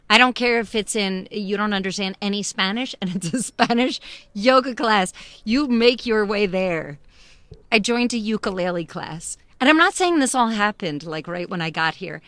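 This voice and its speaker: A woman giving animated, emphatic, encouraging advice in conversation, often acting out little bits of quoted dialogue. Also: mid-range voice, no room sound. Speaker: podcast guest, expat living in Spain.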